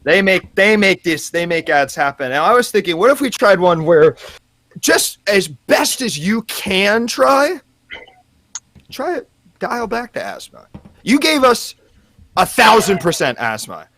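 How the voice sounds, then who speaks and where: A man's voice: high voice, very animated, a little slow, pushed and bright, dry recording, full character voice. A man playing a gushing, rambling ad-agency executive in improvised comedy banter. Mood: rambling, eager. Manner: rambling, effusive, hedging.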